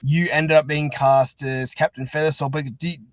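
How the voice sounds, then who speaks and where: A man's voice: a little high, steady tone, normal speed, no room sound. Enthusiastic man hosting a casual interview. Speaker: host interviewing a guest.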